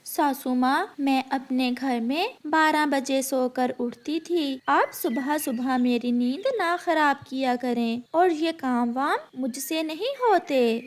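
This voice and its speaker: A woman's voice: high voice, slow pace, hardly any pauses. A woman voicing a spoiled, haughty, two-faced young bird bride in a cartoon story. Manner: haughty, complaining.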